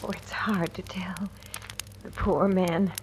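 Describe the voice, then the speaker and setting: A woman's voice: on the low side, level tone, takes it slowly. Blunt, bitter radio-drama woman, faintly noisy. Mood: resigned, hushed. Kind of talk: 1940s-50s radio drama acting. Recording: old radio recording, faint noise.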